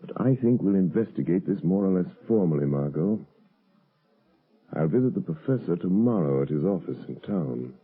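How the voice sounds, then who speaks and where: A suave, probing gentleman sleuth in a vintage radio mystery. His voice is low and husky, with a measured, needling delivery, heard through a narrow, muffled old recording. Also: animated. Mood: intrigued. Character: urbane, probing male amateur sleuth and psychologist. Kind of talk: 1930s-40s radio mystery acting.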